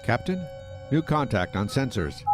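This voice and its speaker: A man's voice: medium pitch, sing-song, a little slow, music in the background. A man playing a dutiful starship bridge officer in an audio drama, giving military-style reports. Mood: alert, dutiful.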